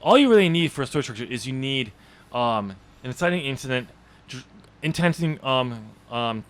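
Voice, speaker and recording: A man's voice: mid-range voice, expressive. Guy chatting on a podcast, keeps stumbling and correcting himself, ordinary mid-range voice. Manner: halting, self-correcting. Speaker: podcast co-host, author discussing own novel draft. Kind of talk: casual unscripted chat.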